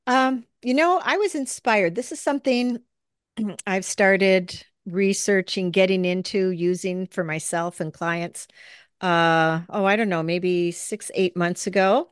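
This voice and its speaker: A woman hosting a casual podcast conversation, talking loosely with plenty of ums and pauses while she looks for words. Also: mid-range voice, clean tone, no room sound, studio quality. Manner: chatty, searching for words with many fillers. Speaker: podcast host.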